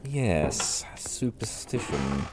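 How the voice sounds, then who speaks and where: A man playing a polite, measured questioner hearing testimony in an audio drama. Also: low-pitched, very animated, over music, exaggerated voice. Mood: composed, probing.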